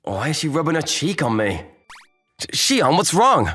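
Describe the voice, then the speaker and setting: A man acts out a young male programmer in an anime-style manga dub, a kindly mentor with an easygoing, reassuring manner. His pitch moves in lively swings, and the recording is close and dry. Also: normal speed, slightly rough, no echo, soft background music, heavily acted. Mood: gentle, flustered.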